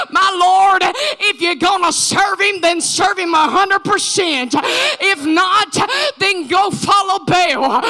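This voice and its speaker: Woman preacher, high voice, slow drawn-out phrasing, impassioned revival sermon, sing-song cadence. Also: sharp tone.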